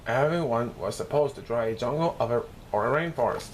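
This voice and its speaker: A man with a mid-pitched voice reads a children's story aloud. He narrates as a storyteller. The recording has clear noise behind him. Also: fairly quick. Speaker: storyteller reading a picture book.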